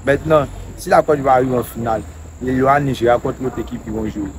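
A man's voice: mid-range voice, fast. A man, asking questions as a street interviewer. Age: 20s. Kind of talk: vox pop questioning. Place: outdoors on a roadside. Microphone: handheld microphone. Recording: outdoor street recording with clear traffic noise. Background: traffic and street noise.

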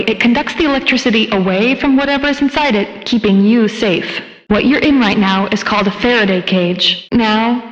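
A brisk, cautioning woman played by a game actress explains technical equipment. Her mid-pitched voice rings in a long reverb, with a slightly dulled sound. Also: muffled recording, lightly acted. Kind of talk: video game voice acting.

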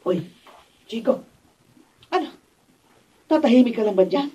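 High-pitched, outspoken Filipino mother. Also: expressive, unhurried, dark tone, heavily acted. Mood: nagging. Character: anxious, outspoken mother and wife. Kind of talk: radio drama acting. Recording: distant studio camera mic, clearly noisy.

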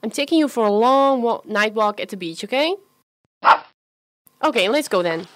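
A woman's voice: on the high side, expressive, normal speed, noisy background, natural voice. A woman playing a friendly, chatty, self-doubting young woman in an amateur radio play, with a hesitant, natural delivery. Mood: affectionate.

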